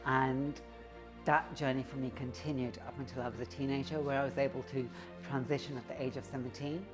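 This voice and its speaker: A woman's voice: rumbling low, fairly even intonation, a little fast, over music. A woman who works as an actor speaking confidently about her life in an interview. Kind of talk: personal statement.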